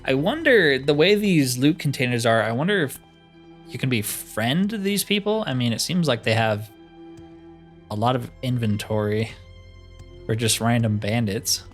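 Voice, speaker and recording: A man's voice: medium pitch, animated, moderate pace. A man provides casual gaming commentary in a mid-range voice. He chats and thinks aloud as he plays, with faint game music running underneath. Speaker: gaming YouTuber playing a fantasy roleplay game. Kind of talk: casual let's-play commentary.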